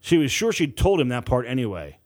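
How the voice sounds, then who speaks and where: Man narrating fiction, expressive pitch. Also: a little fast. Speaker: audiobook narrator. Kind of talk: dramatic scripted fiction narration.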